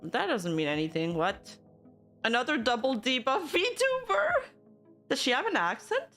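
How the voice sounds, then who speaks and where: A woman's voice: sits high, big pitch swings, moderate pace, a bit bright, faint music behind. A young woman from Canada, a VTuber streamer, casually chatting and reacting to news and her live chat, laughing often and teasing back.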